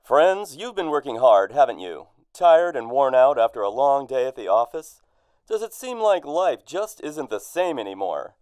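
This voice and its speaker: An actor playing a chummy, insistent old-time radio advertising announcer who sells with an insinuating edge. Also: male voice, mid-range voice, big pitch swings, moderate pace, a little mellow, dry recording, clean studio sound, natural voice.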